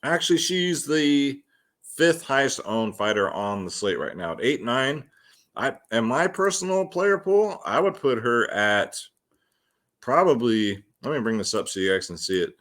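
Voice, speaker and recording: A man's voice: mid-range voice, very animated, unhurried, fairly bright tone. A man hosting a fight picks show, talking casually and slangily to his viewers as guys while he gives predictions. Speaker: host of a fight picks and fantasy sports show. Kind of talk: casual solo fight predictions and betting commentary.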